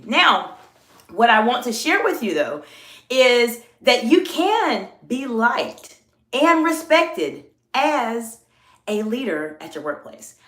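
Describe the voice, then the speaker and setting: Woman executive coach, Southern US accent with a New York edge, emphatic drawn-out delivery, roomy echo. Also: mid-range voice, fairly bright tone. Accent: Southern US mixed with New York. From American South. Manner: drawn-out emphatic words, reads chat aloud. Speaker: executive coach and channel host. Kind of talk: live-stream coaching talk.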